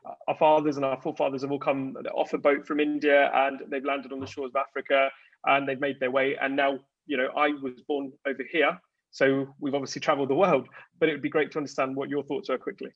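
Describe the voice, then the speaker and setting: Polite male moderator, husky tone; dry, muffled recording. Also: mid-range voice, flat delivery, fast talker, no echo. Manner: polite, thanking and paraphrasing questions. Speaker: panel host. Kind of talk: moderating an online panel.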